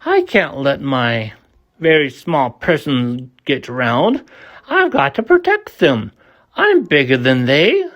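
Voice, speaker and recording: A gentle storybook elephant, as a man reads him, polite and protective. His delivery is slow and very animated, with an earnest, sincere manner. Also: mid-range voice, lo-fi sound. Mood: worried, determined.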